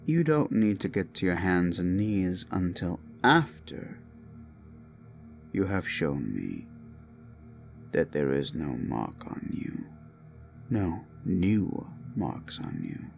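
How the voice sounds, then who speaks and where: Creepy possessive prince, low gravelly voice, swings up and down a lot, quiet music behind. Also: male voice, very animated, takes it slowly, long pauses, full character voice. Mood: commanding. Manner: soft-spoken menace, drifts into whispers. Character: unhinged possessive young prince, paranoid. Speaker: voice actor. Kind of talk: audio roleplay drama. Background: faint dark music.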